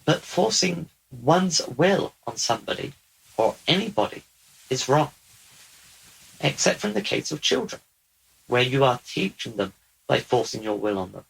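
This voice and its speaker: A man speaking as a channeled otherworldly male being, slow, deliberate and repetitive. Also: medium pitch, sing-song, creaky, a bit bright, husky, heavily acted, low-quality recording. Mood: earnest. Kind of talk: channeled spiritual talk.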